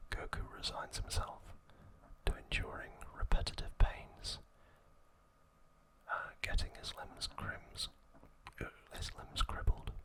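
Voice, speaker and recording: Slow whispering male ASMR host. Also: sharp tone, poor audio. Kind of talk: whispered ASMR, reading episode summaries and chatting to viewers.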